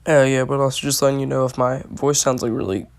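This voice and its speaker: Young male vlogger, hoarse and gravelly, loose filler-heavy voice-over. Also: mid-range voice, steady tone, quick pace. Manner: rambling with many fillers. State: hoarse from allergies. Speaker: roller coaster reviewer. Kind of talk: casual voice-over commentary.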